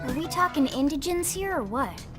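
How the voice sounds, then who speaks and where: Young game tech scout, high voice, earnest, alert, music clearly behind. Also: female voice, lively intonation, moderate pace. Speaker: team member. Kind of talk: video game cutscene acting.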